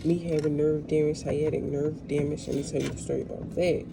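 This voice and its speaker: Woman rambling casually over clear background noise. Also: low voice, level tone, brisk. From United States. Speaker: vlogger. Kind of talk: casual rambling storytelling while cooking. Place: kitchen. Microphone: no dedicated microphone, built-in device mic. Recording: noisy kitchen room without a microphone.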